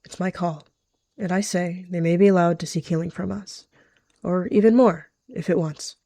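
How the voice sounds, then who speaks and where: A woman's voice: fairly low pitch, steady tone, moderate pace, dark tone, dry recording. A woman voicing a bold, fair-minded she-cat who leads a clan with firm authority in a roleplay game. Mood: firm, commanding. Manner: firm, commanding.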